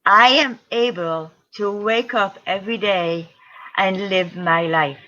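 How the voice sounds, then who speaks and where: Bright-voiced middle-aged woman telling stories. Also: mid-range voice, takes it slowly, highs cut off. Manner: lively anecdotes acting out quoted voices. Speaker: guest ultra-distance triathlete. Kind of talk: casual interview storytelling.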